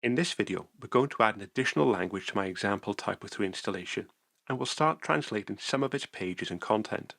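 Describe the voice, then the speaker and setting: A man calmly narrating a software tutorial screencast, explaining each step methodically. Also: fairly low pitch, quick pace, creaky, no echo, studio quality.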